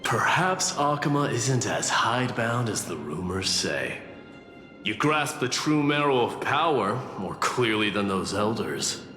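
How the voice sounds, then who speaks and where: Casting: haughty battle-loving warrior leader for a game, forceful edge, cavernous reverb over light music. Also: male voice, on the low side, big pitch swings, measured pace, exaggerated voice. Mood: proud, challenging. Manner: haughty, formal, challenging. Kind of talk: video game voice acting. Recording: echoey hall reverb, light music behind.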